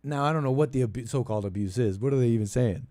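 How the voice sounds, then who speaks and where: A man hosting a casual call-in show, thinking aloud in a halting, repetitive, meandering way. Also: mid-range voice, dark tone, no echo, studio quality. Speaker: podcast and call-in show host. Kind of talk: rambling casual political commentary.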